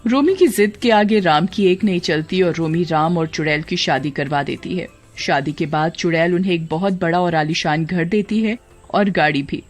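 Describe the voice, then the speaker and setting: A woman's voice: on the low side, moderate pace. A woman storyteller reading scripted narration for a cartoon story, over music.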